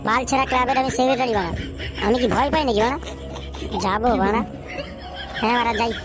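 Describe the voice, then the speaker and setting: A woman's voice: on the high side, fast talker, music in the background, lightly acted. A woman voicing a crude, boastful young boyfriend in a comic cartoon dub. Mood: blustering. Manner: crude, swaggering, repetitive. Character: foul-mouthed cocky young boyfriend, boastful yet cowardly.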